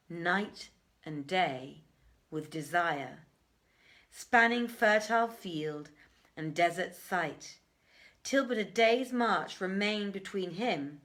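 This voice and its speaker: A woman storyteller reads an old-fashioned literary tale dramatically. Her pitch sweeps widely, and she moves slowly, setting phrases apart with deliberate pauses. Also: a bit bright. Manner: theatrical, measured with deliberate pauses. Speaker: storyteller reading aloud. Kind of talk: dramatic literary reading of archaic tales.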